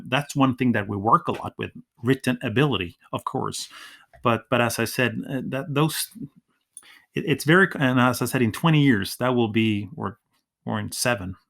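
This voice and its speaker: A man's voice: medium pitch, fairly bright tone. A Swedish man speaking English as a second language, a teacher chatting in an interview, often restarting his sentences. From Sweden. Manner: restarts a lot, acts out classroom dialogue. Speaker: ESL teacher, podcast guest. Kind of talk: casual interview conversation.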